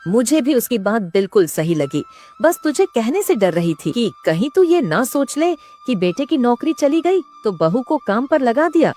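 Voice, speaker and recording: A woman's voice: a little high, sing-song, normal speed, dark tone, faint music behind. A woman playing a kind, wise older mother-in-law in a moral-story cartoon, speaking in an earnest, advising way. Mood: relieved, candid.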